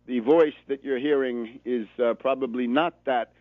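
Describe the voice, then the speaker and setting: A man's voice: mid-range voice, steady tone, moderate pace, no echo. An American man hosting a political radio show, delivering emphatic, sardonic commentary as a monologue with rhetorical repetition. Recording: narrow phone-like sound, dry.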